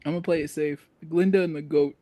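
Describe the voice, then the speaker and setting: A woman's voice: sits low, steady tone, fast talker, mellow, dry recording. A woman chatting casually on a panel about films, arguing her points emphatically in slangy speech. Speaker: podcast panelist.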